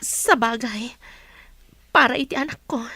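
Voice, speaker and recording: A woman's voice: on the high side, a little slow, pushed and bright, slightly rough, no room sound, heavily acted. A woman playing a hesitant, guarded young mother in an audio drama.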